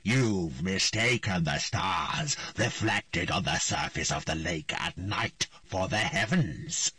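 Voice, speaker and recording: A man voicing a cold, hostile, inhuman presence speaking through a young girl, slow and mocking. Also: sits low, animated, pushed and bright, no room sound, far from the mic, heavily acted. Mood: menacing.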